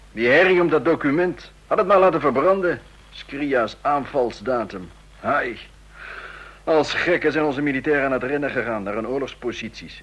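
An actor playing a roguish, envious intelligence officer in a radio play, speaking with sardonic humour in clipped phrases. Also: male voice, on the high side, a bit bright, husky, muffled recording. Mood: sardonic, exasperated. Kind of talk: radio drama dialogue.

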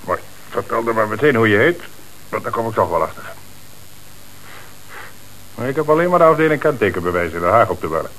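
A man acting a stern, shrewd police chief with a Netherlands Dutch accent and brisk authority, in a radio play. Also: lowish voice, sing-song, a bit bright, low-quality recording. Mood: stern, probing. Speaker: actor. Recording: hissy old radio recording.

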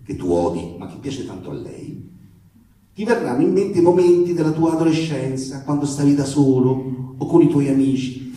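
Far from the microphone in a very reverberant room, a man performs a protective father with a very dark, mellow voice. He delivers a comic stage monologue to his daughter's boyfriend, halting and emphatic, tender one moment and sardonic the next. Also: medium pitch, lightly acted. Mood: wistful, pleading. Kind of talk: theatrical comic reading of a letter monologue. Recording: very echoey room, distant microphone.

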